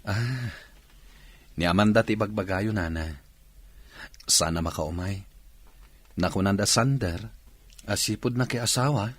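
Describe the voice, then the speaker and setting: A man plays a modest young bachelor in a radio drama. He speaks politely in a low, husky voice. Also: unhurried, long pauses, no echo. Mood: respectful. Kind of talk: radio drama acting in Ilocano.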